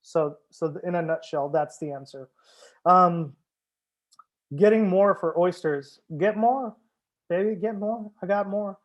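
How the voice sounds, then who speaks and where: A man's voice: high-pitched, a little slow. A man hosting a live wine tasting as a sommelier, chatting casually with viewers, joking and swearing now and then. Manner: chats with viewers, answers comments. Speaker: sommelier host.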